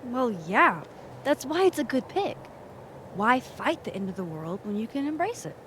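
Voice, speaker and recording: A woman's voice: fairly high pitch, sing-song, moderate pace, a bit bright, a little hiss or hum. A woman playing a wry, playful young woman in a naturalistic video game, casual and teasing. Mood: wry, enthusiastic.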